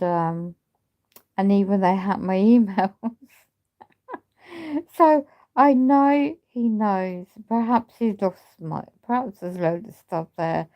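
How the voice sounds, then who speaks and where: A woman chatting casually on a livestream, talking in a halting, rambling way while she loses her voice. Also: fairly low pitch, lo-fi sound. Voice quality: gravelly. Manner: rambling, halting speech with many restarts. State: losing her voice. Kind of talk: casual livestream chat with viewers.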